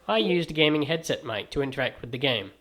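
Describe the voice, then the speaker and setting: A man's voice: fairly high pitch, expressive, fast, a bit bright. A man with a transatlantic accent narrating a scripted game review in a wry, dry-humoured manner.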